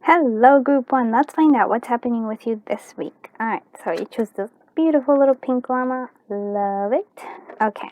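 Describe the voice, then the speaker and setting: High-voiced woman chatting through a tarot reading. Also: phone-quality sound. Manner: informal, chatty, thinking aloud with hesitant pauses. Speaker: tarot card reader and channel host. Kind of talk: casual spoken pick-a-card tarot reading to viewers.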